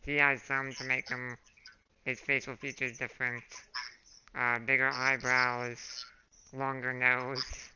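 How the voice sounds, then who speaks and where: A hoarse man running a tabletop game as dungeon master, unhurried and full of fillers. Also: mid-range voice, monotone. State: hoarse from a throat infection. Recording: muffled home recording with background noise.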